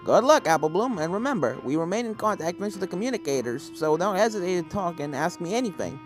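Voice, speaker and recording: A narrator voicing a calm, helpful male pony professor who gives chatty, encouraging instructions in a fan fiction story. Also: mid-range voice, fast talker, over music, natural voice.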